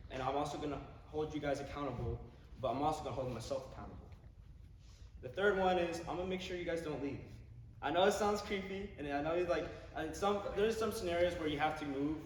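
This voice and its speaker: Echoey, distant teenage boy making an informal speech. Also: fairly high pitch. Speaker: youth candidate giving a campaign speech. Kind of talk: informal campaign speech to a group. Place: large echoey indoor room. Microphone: distant microphone. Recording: echoey hall from far off, background noise.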